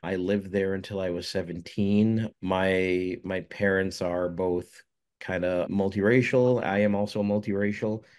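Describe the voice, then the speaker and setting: Casting: very low-voiced young man from jamaica, rambling interview guest. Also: little pitch movement, a little slow, darkish tone. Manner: rambling, many fillers and restarts. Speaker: filmmaker guest. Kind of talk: casual interview answers.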